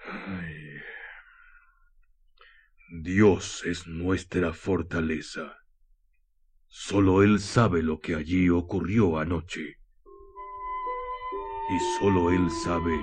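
An actor playing a grave, pious priest in a radio drama, solemn and measured. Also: male voice, low voice, a little mellow, breathy edge, faint music behind, heavily acted. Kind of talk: slow radio drama acting.

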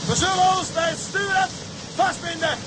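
An actor playing a weary but steadfast ship's mate in a storm at sea, speaking tersely and with authority in a radio drama. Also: male voice, extremely high pitch, fairly even intonation, moderate pace. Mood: weary, resolute. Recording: loud wind, waves and engine noise.

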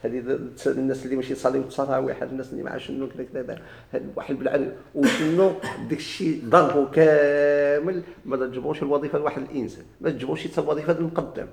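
A man with a Moroccan Arabic accent teaches grammar in a dark, gravelly voice. He moves briskly, repeats key words and quizzes his students. The recording is echoey. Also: medium pitch, fairly even intonation. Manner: explains slowly, repeats and stretches key words, asks students questions.

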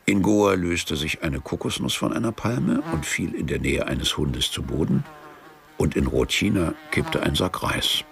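A male actor narrating a literary radio play in a measured, detached way, as a storyteller with dry irony. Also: low voice, lively intonation, gravelly, a bit bright, full character voice.

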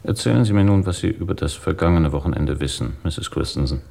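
An actor playing a weary, probing police inspector in a German radio crime drama, terse and matter-of-fact. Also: male voice, low voice, fast, gravelly, mellow. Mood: probing, calm.

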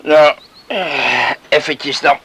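An actor playing a proud, pious, stubborn farmer in a radio play, curt and grudging. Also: male voice, fairly high pitch, drawn-out words, bright, forceful tone, husky, heavily acted, poor audio. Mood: reserved, gruff. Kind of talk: Dutch radio play acting.

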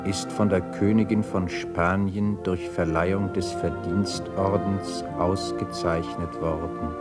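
A formal announcer in an old radio play. His voice is fairly low and slow, stately and official, as he reads a notice aloud. Music is loud behind him. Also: little pitch movement. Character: formal male newspaper notice reader.

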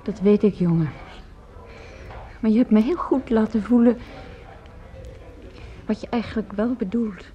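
A woman's voice: mid-range voice, measured pace, pauses often, close to an everyday voice. An actress playing a worried, weary middle-aged mother in a Dutch radio drama, hesitant and subdued. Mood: weary, hurt. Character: worried, weary, reticent mother of a grown son. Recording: dull, muffled old radio recording.

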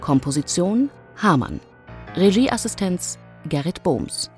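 Slow, low-voiced woman announcer. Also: sing-song. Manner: measured, formal. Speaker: radio drama announcer. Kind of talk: scripted credits announcement. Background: music.

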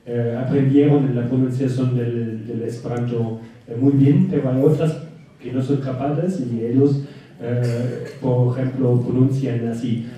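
Slow German-accented man in echoing hall. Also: medium pitch, steady tone, warm tone. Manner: halting, many fillers, self-deprecating. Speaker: guest speaker. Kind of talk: conference talk. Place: large auditorium. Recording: echoey hall from far off.

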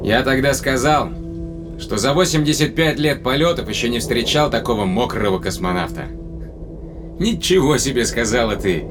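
A man's voice: mid-range voice, expressive, moderate pace, lightly acted. Man acting coaxing starship captain, music behind. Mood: nostalgic. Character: male spaceship captain, long-lived, tenderly probing his companion. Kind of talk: Russian audio drama acting.

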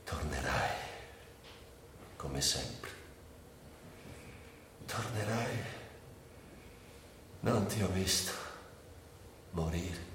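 An actor playing an anguished, bitterly ironic sick man in a theatrical radio monologue, speaking in broken, lyrical phrases with long pauses. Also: sits low, expressive, a bit bright, heavily acted. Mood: grieving, subdued. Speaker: stage actor and director. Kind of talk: dramatic literary monologue for radio. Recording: very echoey, distant, with faint hiss.

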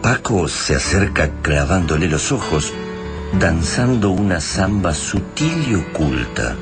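An Argentine actor playing a solemn literary narrator in a science fiction radio drama. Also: male voice, low voice, big pitch swings, unhurried, over music, full character voice.

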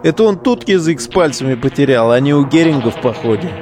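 An actor in a modern audio drama playing a foul-mouthed, mocking relic digger who talks in coarse slang. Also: male voice, mid-range voice, expressive, fast, music in the background, close to an everyday voice. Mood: jeering amusement. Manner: slangy, coarse, jeering banter.

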